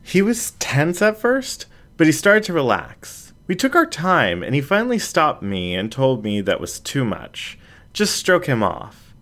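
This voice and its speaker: A man voicing a playful adult female animal character in an audiobook, with an animated, confiding storytelling manner. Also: mid-range voice. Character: playful adult female anthropomorphic animal sharing personal anecdotes.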